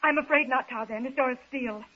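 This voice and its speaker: A woman's voice: sits high, a little fast. An actress playing a refined, anxious but capable young woman in a 1930s radio serial, explaining things with patient urgency. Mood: anxious, urgent. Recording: dull, hissy 1930s radio recording.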